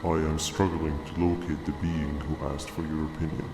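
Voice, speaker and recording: A man's voice: very deep voice, little pitch movement, normal speed. A man voicing a huge, irritable, pompous game monster who speaks in wordy, menacing phrases. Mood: haughty. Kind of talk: video game villain acting. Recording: cavernous echoing reverb, music behind.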